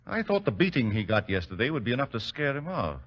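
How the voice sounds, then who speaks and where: A menacing aristocratic villain, imperious and sneering. The voice is forceful and cutting, with wide swings in pitch, on a dull 1940s radio recording. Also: male voice, big pitch swings, fast talker, husky. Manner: imperious, interrogating. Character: menacing, sneering criminal baron and art collector.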